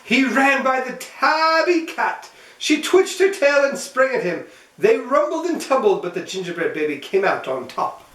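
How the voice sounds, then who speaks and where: A man's voice: very high voice, big pitch swings, normal speed, a bit bright, slight background noise. A man reading a children's storybook aloud as host, narrating expressively and playfully for young listeners. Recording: roomy echo, mic at some distance.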